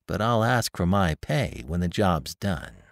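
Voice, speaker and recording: A man voicing a blunt, rough-spoken male woodman who scorns his neighbor. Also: deep voice, big pitch swings, unhurried, no echo, clean studio sound, exaggerated voice. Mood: scornful.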